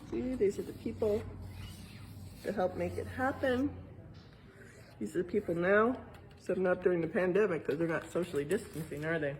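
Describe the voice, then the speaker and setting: A woman's voice: mid-range voice, a little mellow, a little hiss or hum, rough recording. A woman with an American accent reading a picture book aloud and explaining it simply for young listeners as a museum educator. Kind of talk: read-aloud with explanatory asides.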